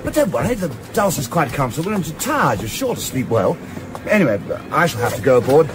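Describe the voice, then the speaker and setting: A man's voice: a little high, big pitch swings, brisk, music in the background. An actor playing an eager, apologetic young yachtsman in a radio play, hesitant and chummy. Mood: cheerful, persuasive. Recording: clearly noisy, boat ambience behind.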